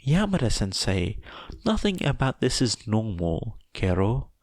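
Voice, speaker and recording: A man voicing a blunt, deadpan, cautious teenage girl in a story reading. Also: lowish voice, a little slow, dry recording, clean studio sound. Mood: flat. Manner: blunt, flat.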